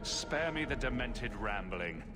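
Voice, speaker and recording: Grim, terse hero with swinging pitch, a male actor. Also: lively intonation, unhurried, long reverb tail, lightly acted. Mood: defiant, angry. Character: grim heroic male adventurer searching for his mother. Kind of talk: video game voice acting. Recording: loud music behind the voice.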